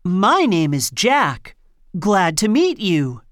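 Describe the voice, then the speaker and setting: A woman reading scripted lines and answer options for an English listening test, slow and carefully enunciated. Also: mid-range voice, sing-song, no echo, clean studio sound.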